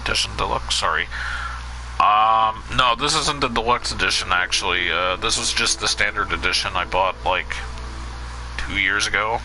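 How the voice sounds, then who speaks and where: A man with a fairly low voice streams a video game and chats casually to his viewers. He rambles and loses his train of thought, with a steady hiss of noise under the audio. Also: normal speed, poor audio. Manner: rambling, scatterbrained, lots of fillers. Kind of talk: casual let's play commentary.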